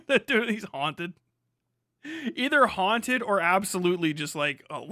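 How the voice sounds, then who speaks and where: A man with a high, bright voice riffs casually as a live streamer. He is animated, slangy and profane, in a comic, off-the-cuff style, recorded dry. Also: dry recording. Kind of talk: casual comic riffing on a livestream.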